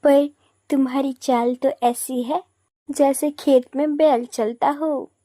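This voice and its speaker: A woman playing a haughty, mocking young princess in an animated fairy tale, with a taunting manner. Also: high voice, slow pace.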